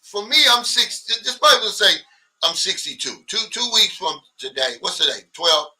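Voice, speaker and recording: A blunt, animated man in his sixties chats on a livestream, reading out viewer comments and answering them. His voice is high and very bright, a little off the mic. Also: moderate pace, distant mic, rough recording. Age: 60s. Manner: blunt, animated, mimics others' complaints. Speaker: livestream host.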